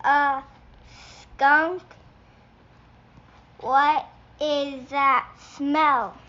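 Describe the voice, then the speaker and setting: A woman voicing a patient but exasperated rabbit instructor from a picture book, speaking in deliberate, halting steps. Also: high-pitched, steady tone, sharp tone, muffled recording, full character voice.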